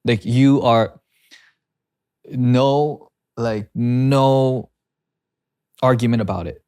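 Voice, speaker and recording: A young adult man producing and co-hosting a podcast, talking in an animated, rambling way and laughing easily. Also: mid-range voice, extremely slow, smooth, no echo, studio quality. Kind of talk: casual conversation.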